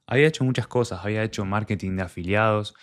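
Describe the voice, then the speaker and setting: A man's voice: on the low side, fast. A young man from Argentina with an Argentine Spanish accent, telling his personal story in a candid, conversational way and quoting his own past thoughts. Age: young adult.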